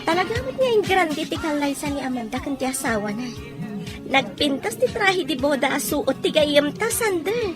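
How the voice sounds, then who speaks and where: Radio drama friend: young, gossipy, friendly, high-pitched over music. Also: female voice, big pitch swings, normal speed. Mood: admiring.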